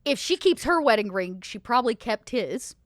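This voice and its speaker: A woman's voice: a little high, animated, moderate pace, dry recording, clean studio sound. A woman playing a tabletop roleplaying game, chatting casually about her character's actions.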